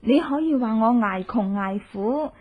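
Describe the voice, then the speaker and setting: A high-pitched, coaxing young wife. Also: female voice, expressive, a little slow. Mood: scheming. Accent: Hong Kong Cantonese. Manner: coquettish, wheedling. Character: cook's scheming, coaxing wife, playful and bold. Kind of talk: Cantonese radio drama acting. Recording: muffled narrow-band old radio recording.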